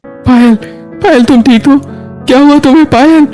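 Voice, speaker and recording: A man's voice: extremely high pitch, moderate pace, darkish tone, soft background music, voice pushed up. A man voicing an anxious, protective young husband in a Hindi moral story, speaking urgently and emphatically. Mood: frantic.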